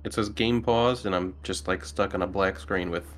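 A man's voice: low voice, steady tone, moderate pace, a bit bright. A man chatting casually as a player in an online tabletop game. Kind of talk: casual chat. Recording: faint background noise.

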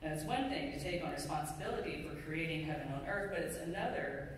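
A middle-aged pastor preaching a sermon in a measured, deliberate way with pauses. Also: medium pitch, lively intonation. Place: church sanctuary pulpit, indoors. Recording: very echoey hall, distant mic, faint noise.

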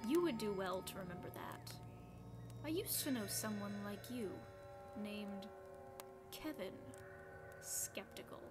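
Woman playing an ominous, slow-talking ghost storyteller. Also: medium pitch, animated, lightly acted. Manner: slow, dramatic, whispery at times. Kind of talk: radio play acting. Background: loud music.

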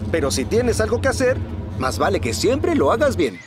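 A man's voice: sits high, huge pitch swings, normal speed. An actor playing a grumbling but good-hearted handyman dad in a Latin American Spanish cartoon dub. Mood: sheepish, advising. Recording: music behind the voice.

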